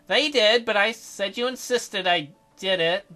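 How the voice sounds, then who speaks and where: A man voicing a gentle, flustered doctor in a visual novel, stammering and hesitant as he talks. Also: high-pitched, a little slow, soft background music. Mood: sheepish. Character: gentle, flustered male doctor, self-described old man.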